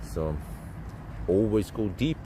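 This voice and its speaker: Man, deep low voice, rambling live-stream chat, inside a car, clear road noise behind. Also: slow pace. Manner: rambling, chatty, thinks aloud with fillers and restarts. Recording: steady vehicle and street noise.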